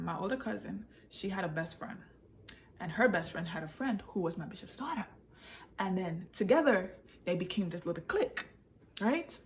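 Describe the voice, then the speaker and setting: A woman speaks. She tells a personal story in a casual, confiding way, repeating phrases for emphasis and dropping into Patois. There is faint background noise in the recording. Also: mid-range voice. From born in Jamaica, raised in England and the United States. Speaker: vlogger and author telling her own story.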